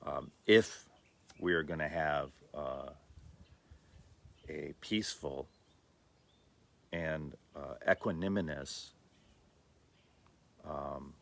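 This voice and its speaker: Sixty-something guy from LA, super low voice with some fry, slow reflective talk, lots of ums. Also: fairly bright tone. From Los Angeles area, USA. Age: 60s. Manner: slow and thoughtful, many pauses and fillers. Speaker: livestream host.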